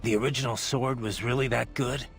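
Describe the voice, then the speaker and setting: An actor playing a blunt, wry cyborg mercenary in a video game, speaking in a terse, dry manner. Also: male voice, medium pitch, steady tone, fairly quick, soft background music, natural voice. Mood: dry, curious. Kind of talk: video game cutscene voice acting.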